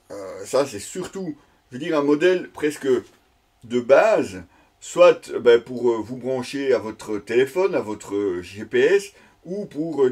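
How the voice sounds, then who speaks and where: Male product reviewer, mid-range pitch, conversational and unscripted, feeling his way through an unboxing. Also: darkish tone, husky. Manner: hesitant, improvised, conversational delivery. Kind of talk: casual unboxing commentary.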